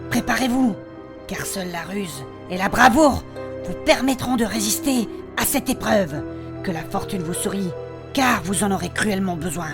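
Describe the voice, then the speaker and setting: A woman's voice: mid-range voice, expressive, moderate pace, vocal fry. A woman playing a bold, protective lance-wielding adventurer in a fantasy comedy audio drama. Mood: solemn reading. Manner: urgent, exclaiming. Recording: music behind the voice.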